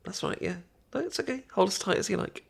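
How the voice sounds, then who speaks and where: A man's voice: high voice, lively intonation, quick pace, vocal fry, breathy edge, exaggerated voice. A British man acting a shy, affectionate best friend in an ASMR romantic roleplay, hesitant, stammering and tender. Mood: gentle, instructing. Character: shy, affectionate boyfriend-to-be best friend teaching sushi making.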